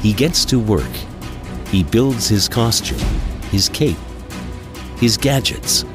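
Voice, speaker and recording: A man's voice: lowish voice, animated, slow pace. A man reading dramatic scripted narration as the storyteller of an audio drama. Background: loud music.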